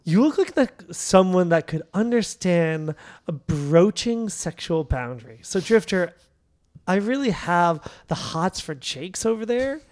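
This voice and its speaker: A man's voice: high voice, lively intonation, measured pace, a little mellow. A man playing a lovestruck, hesitant and sad office worker in a comedy script table read. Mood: anxious, curious.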